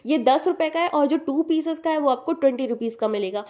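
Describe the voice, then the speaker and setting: A woman's voice: high-pitched, brisk, a little mellow. A woman vlogging a tour of an outdoor street market, chattily listing prices one after another. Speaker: shopping vlogger. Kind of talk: casual market tour narration.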